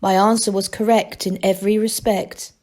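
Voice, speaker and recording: A woman's voice: mid-range voice, flat delivery, moderate pace, a little mellow, close to an everyday voice. A woman voicing a small, literal-minded machine in a storybook, tinny and formal in clipped, exact phrases. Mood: pedantic. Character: tinny little thinking machine, pedantic and literal.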